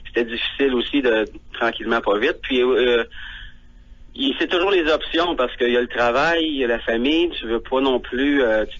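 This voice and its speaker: Male caller, mid pitch, hesitant with fillers, dull lo-fi line. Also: normal speed, a bit bright. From Ontario. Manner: hesitant, frequent fillers and restarts. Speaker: guest on phone, teacher. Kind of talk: phone interview.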